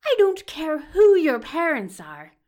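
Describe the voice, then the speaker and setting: A woman's voice: high-pitched, wildly swooping pitch, measured pace, darkish tone, muffled recording, full character voice. A woman voicing a menacing, contemptuous male villain doctor with a dangerous, commanding manner in an audio drama. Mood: furious. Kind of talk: audio fiction acting.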